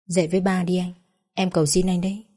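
A woman voicing a grieving young mother who talks softly and pleads close to tears. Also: on the low side, moderate pace, close to an everyday voice. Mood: pleading. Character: grieving young mother at her husband's bedside.

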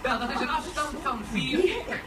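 An actor playing a measured delegate debating at a world assembly, formal, deliberate and halting. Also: male voice, very high-pitched, animated, roomy sound, a loud crowd. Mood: measured, argumentative. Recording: distant, noisy, television-like assembly recording.

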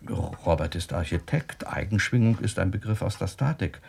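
Radio drama: intense investigating doctor, deliberate delivery, lowish pitch, heavily husky tone. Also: male voice, animated, darkish tone, exaggerated voice. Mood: grave, deliberate. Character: earnest male doctor investigating a sinister mystery. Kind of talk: German radio drama acting, reading aloud.

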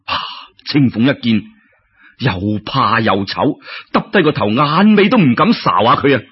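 A man's voice: mid-range voice, animated, unhurried, husky. A man with a Cantonese accent telling a serial story in a colloquial, dramatic way full of exclamations. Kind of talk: animated traditional serial storytelling. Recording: dull lo-fi radio-like recording.

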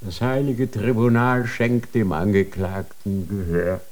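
An actor playing a solemn, coldly formal judge of the Inquisition, speaking in an official, measured way in a German radio drama. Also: male voice, on the low side, level tone, a little mellow, close to an everyday voice.

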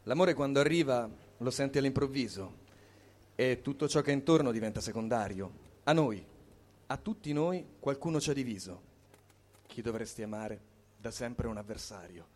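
A man's voice: mid-range voice, normal speed, a little mellow. A man reciting a poem with emphasis. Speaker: poetry reader.

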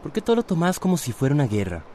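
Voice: medium pitch, huge pitch swings, racing, mellow, a little hiss or hum. An actor playing a skeptical, teasing young boyfriend in a radio drama, dry and sarcastic. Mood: questioning.

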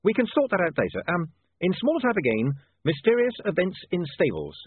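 A man's voice: sits high, wildly swooping pitch, a little fast, breathy edge, dry recording, voice pushed up, exaggerated voice. A man voicing an urgent, harried newspaperman in an audiobook, emphatic and sprinkled with hesitant ums. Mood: harried dictation. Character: harried, urgent young male newspaperman dictating headlines. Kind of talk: audiobook character voice. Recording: band-limited, phone-like sound.

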